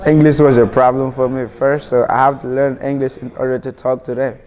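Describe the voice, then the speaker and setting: A man's voice: mid-range voice, level tone, a little fast, crowd noise. A teenage man from Burkina Faso, an immigrant student, answering interview questions earnestly, often restarting his sentences.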